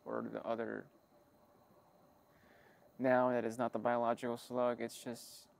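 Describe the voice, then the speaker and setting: A man with a creaky, gravelly edge speaks at a middling pitch in a rambling personal log. He is halting and wry, circling back on his own phrases and correcting himself as he goes. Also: completely flat delivery. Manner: halting, self-interrupting, wry and figurative. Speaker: creator of an audio log channel.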